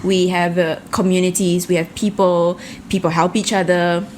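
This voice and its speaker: A woman's voice: lowish voice, flat delivery, normal speed, darkish tone, a little hiss or hum, low-quality recording. Chatty young woman from Malaysia. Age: young adult. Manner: friendly, chatty, many fillers. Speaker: podcast guest. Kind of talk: casual interview.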